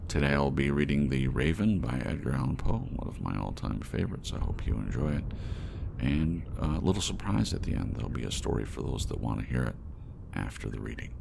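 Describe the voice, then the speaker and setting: A man reading gothic poetry in an ASMR audiobook style, slow and dramatic, in a hushed, breathy voice that often drops near a whisper. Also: lively intonation, noisy background. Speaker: narrator. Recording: rumbling rain and thunder bed. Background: rain and thunder sounds.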